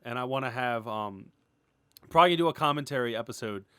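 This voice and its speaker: Rambling, excitable man in a dry-sounding recording. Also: medium pitch, a little fast, smooth, no echo. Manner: rambling, excitable, with stop-and-start phrasing. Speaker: animator and web series creator giving an update. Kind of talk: casual unscripted update vlog.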